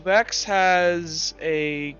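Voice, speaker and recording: High-voiced man running a tabletop game. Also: drawn-out words. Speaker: game master. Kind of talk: improvised tabletop game narration and table talk. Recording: dull muffled audio, music behind.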